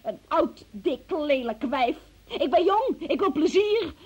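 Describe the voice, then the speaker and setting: A high-pitched young female suspect in a radio play. She is evasive and self-pitying. Her manner is pleading and petulant, with a defiant streak. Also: expressive, slow. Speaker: radio drama actor. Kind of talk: emotional Dutch radio play acting.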